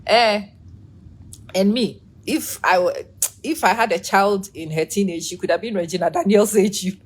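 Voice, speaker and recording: A woman in her forties hosting a casual live stream, chatting, laughing often and greeting viewers by name, with her energy running low. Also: moderate pace, husky.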